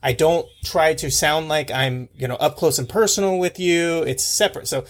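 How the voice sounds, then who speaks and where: A man co-hosting a podcast in casual, blunt talk, with a squeaky, abrasive voice and the mic set back from him. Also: normal speed. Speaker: podcast co-host. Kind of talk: casual chat. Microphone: microphone placed well away from him.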